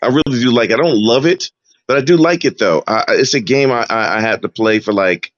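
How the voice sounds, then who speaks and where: A man's voice: medium pitch, a little fast, no room sound. Joking man with a muffled sound. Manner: joking, laughs a lot. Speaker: co-host. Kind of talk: casual chat.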